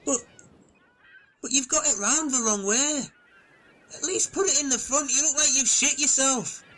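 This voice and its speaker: A man's voice: very high voice, normal speed, sharp tone, slight background noise, rough recording. A man voicing Pietro, a crude, sarcastic islander who swears and mocks the others. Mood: mocking. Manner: sweary, mocking.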